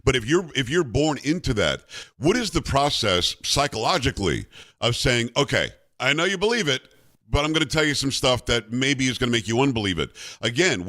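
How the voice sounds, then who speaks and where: A man's voice: medium pitch, fairly bright tone. A man hosting an interview, asking questions conversationally and rephrasing as he goes. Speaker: host.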